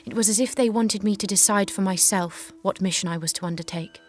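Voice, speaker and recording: A woman's voice: medium pitch, brisk, soft background music, lightly acted. A woman playing a young, devout and determined maiden warrior in an audio drama, speaking earnestly and insistently. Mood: subdued, reflective.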